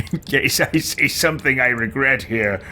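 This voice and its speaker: A man voicing a wry adventurer who muses aloud in formal, old-fashioned words for a video game roleplay. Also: slow. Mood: musing. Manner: formal, old-fashioned wording, thoughtful muttering. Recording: loud rumbling background noise.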